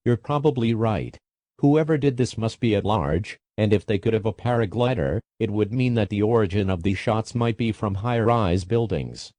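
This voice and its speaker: A man playing a TV news anchor who puts formal, measured questions to a reporter in a scripted breaking-news scenario. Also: fairly low pitch, mellow, dry recording, close to an everyday voice. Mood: serious.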